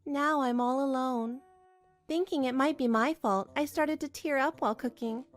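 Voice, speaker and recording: A woman reading scripted first-person drama narration in an expressive storytelling manner. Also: sits high, normal speed, soft background music. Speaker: narrator and voice actor.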